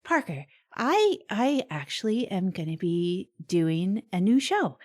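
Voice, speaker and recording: A woman's voice: medium pitch, very animated, slow, darkish tone. A woman playing an upbeat, earnest community radio host in an improvised comedy podcast, eager and reassuring. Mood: tentative, hopeful.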